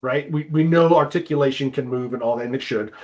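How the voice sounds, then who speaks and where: A man speaks as an expert guest in an informal interview. He explains things conversationally, haltingly, circling back over his words. The audio is somewhat dull and lo-fi. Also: medium pitch, darkish tone. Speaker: guest climbing wall consultant.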